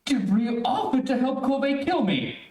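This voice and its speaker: An actor playing a bewildered, alarmed doctor with exclamatory delivery in old-time radio melodrama. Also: male voice, very high-pitched, animated, moderate pace, a little mellow. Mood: shocked. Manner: exclamatory, incredulous. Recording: echoey room, far from mic.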